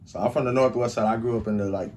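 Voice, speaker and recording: Animated young Chicago man telling stories. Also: on the low side, racing, a little hiss or hum. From Chicago, USA. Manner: rambling, animated storytelling with lots of "like". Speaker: guest, audio engineer and rapper. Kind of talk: casual storytelling interview.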